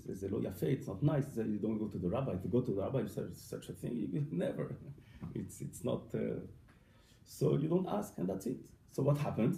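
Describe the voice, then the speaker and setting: Gravelly, halting rabbi with a dark voice. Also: male voice, mid-range voice. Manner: halting, repeats words, mixes Hebrew and Yiddish terms. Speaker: rabbi teaching family purity law. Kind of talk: informal lesson. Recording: echoey room, faint background noise.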